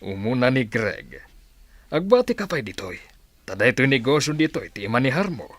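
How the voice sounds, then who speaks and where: A man plays a bossy business-owner father in a radio drama. His Ilocano speech is very animated, with a mid-pitched voice. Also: normal speed, no room sound. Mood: instructing. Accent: Ilocano Filipino. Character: bossy father who owns restaurants. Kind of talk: Filipino radio drama acting.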